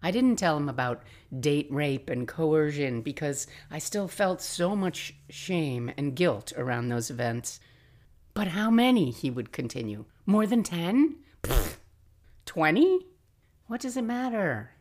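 An older woman hosting a podcast, telling personal stories in a casual, reflective, confiding and expressive way. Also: deep voice, a little slow. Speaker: podcast host.

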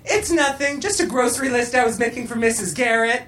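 A woman's voice: fairly high pitch, brisk, roomy sound, crowd noise, natural voice. A woman voicing a naive, lovelorn schoolgirl with a plaintive, bewildered way of talking, in a comic story reading. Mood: nervous.

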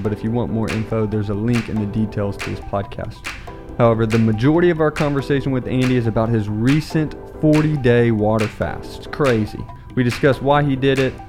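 A man hosting a podcast, introducing the episode and chatting casually, laughing often with his guest. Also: fairly low pitch. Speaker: podcast host.

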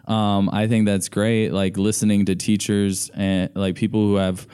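A man's voice: fairly low pitch, little pitch movement, normal speed, mellow, clean tone. A man from the United States, a podcast guest telling his story in an earnest, meandering way and filling his speech with "like.". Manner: earnest, meandering, heavy use of "like". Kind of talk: casual conversational storytelling.

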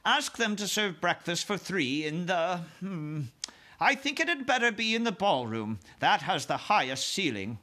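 A man's voice: high-pitched, very animated, unhurried, bright, forceful tone, pitched above the performer's own voice, exaggerated voice. A man voicing a dignified, gracious Queen from a children's storybook, speaking in a polite, formal, composed manner. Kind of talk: children's storybook read aloud.

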